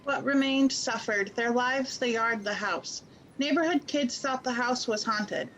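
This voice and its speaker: A woman's voice: on the high side, a little slow, fairly bright tone, slight background noise, poor audio. A woman acting as the narrator of a radio play, reading scripted scene-setting narration. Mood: somber.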